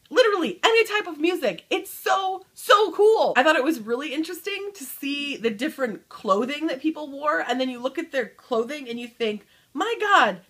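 A woman's voice: high voice, fairly bright tone. A woman in her thirties chatting casually to camera in a small room at home, upbeat and chatty, trailing off at the ends of her thoughts. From Michigan. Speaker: book vlogger.

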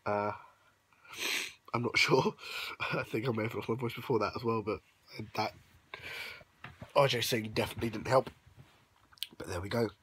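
A young man records a late-night vlog on his phone while lying in bed. His voice is low and rough, husky from shouting, and he sounds a bit sniffly and under the weather. He rambles along with plenty of ums, upbeat despite it. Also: fairly even intonation, normal speed, long pauses. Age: young adult. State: hoarse voice; unwell, sniffly. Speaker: vlogger. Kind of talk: casual vlog recap. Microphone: phone held close at arm's length.

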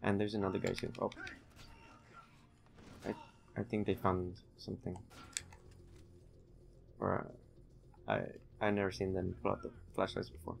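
A young man giving casual, halting, low-key commentary while concentrating on playing a video game. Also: little pitch movement, creaky. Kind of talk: casual let's-play commentary.

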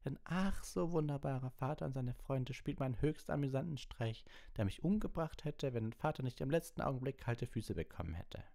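A man's voice: medium pitch, lively intonation, fairly quick, creaky, few pauses, mellow, pitched above the performer's own voice. A man voicing a sneering, sarcastic, menacing male school professor in an audiobook. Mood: sneering, bitter. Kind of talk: audiobook character reading.

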